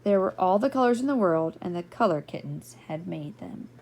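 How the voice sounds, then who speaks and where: An older woman reading a children's picture book expressively, gently and playfully, drawing out key words and slipping in asides and questions to young listeners. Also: lowish voice, animated, moderate pace, slight background noise. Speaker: read-aloud storyteller.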